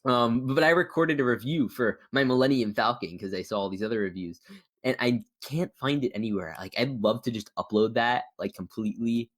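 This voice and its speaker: A man's voice: medium pitch, fairly quick. A man chatting casually as a podcast host and YouTuber, in a rambling, stop-start way with frequent stammers. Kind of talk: casual chat interview.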